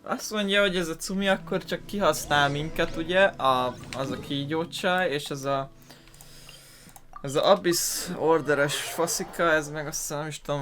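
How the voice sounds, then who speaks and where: A man with a Hungarian accent giving casual, rambling let's play commentary, thinking aloud as he plays. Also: a little high. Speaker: gaming YouTuber.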